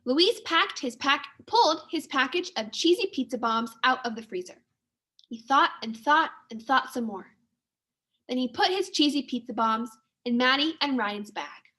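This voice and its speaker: A woman teacher reading a children's storybook aloud to young pupils in an expressive manner. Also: high-pitched, moderate pace. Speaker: second-grade teacher.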